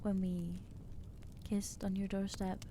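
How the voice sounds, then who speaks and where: A woman voicing an anxious, guilt-ridden young female vampire in an ASMR roleplay, speaking haltingly and stammering as she reproaches herself. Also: mid-range voice, level tone, dark tone, a little hiss or hum. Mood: anguished.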